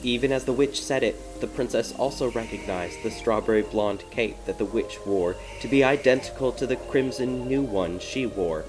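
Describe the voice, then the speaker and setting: A man's voice: medium pitch, normal speed, few pauses, poor audio. A man reading scripted fairy tale narration as an audiobook storyteller. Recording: prominent music behind the narration. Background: music.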